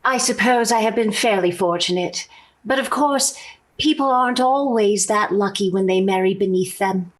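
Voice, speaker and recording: An actress playing a haughty, melodramatic middle-aged society wife in a 1940s radio sitcom. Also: female voice, mid-range voice, normal speed, close to an everyday voice. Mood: condescending. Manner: snooty, melodramatic. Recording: old 1940s radio recording.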